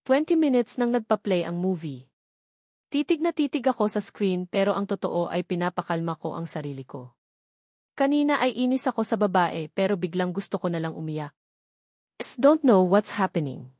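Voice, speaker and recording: A woman's voice: medium pitch, expressive, moderate pace, darkish tone, no room sound. Filipino woman narrating, dry close sound. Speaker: audiobook narrator. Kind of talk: scripted first-person romance novel narration.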